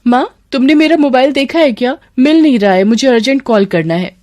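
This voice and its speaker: A woman's voice: high voice, fairly quick, a little mellow, pitched above the performer's own voice, natural voice. A woman voicing a young bride and daughter, sheepish and whiny, with a pleading, innocent manner. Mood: impatient.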